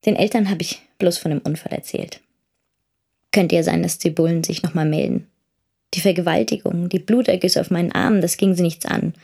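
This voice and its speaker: Husky, creaky, subdued young woman. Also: on the low side, steady tone, fairly quick, warm tone. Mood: guarded, matter-of-fact. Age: young adult. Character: wounded, plain-spoken teenage daughter recounting her ordeal. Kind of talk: radio drama monologue.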